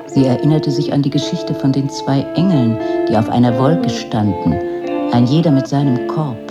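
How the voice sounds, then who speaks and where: A woman as the calm, reflective narrator of a radio play, reading scripted story passages. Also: very deep voice, lo-fi sound. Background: plucked guitar music.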